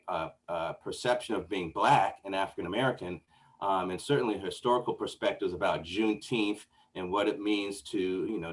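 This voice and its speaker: Man with a very deep voice. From Miami. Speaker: panelist. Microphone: video call.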